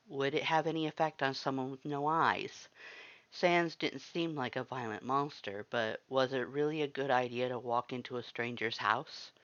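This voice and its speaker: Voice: medium pitch, fairly bright tone, no room sound, lo-fi sound. A narrator reading a story aloud in scripted second-person audiobook narration.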